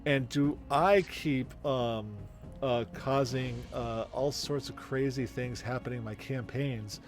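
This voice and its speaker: A man in his forties, the game master, chatting casually and rambling with many pauses. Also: medium pitch, fairly even intonation, over music. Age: 40s. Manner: rambling, hesitant. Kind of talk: casual stream chat.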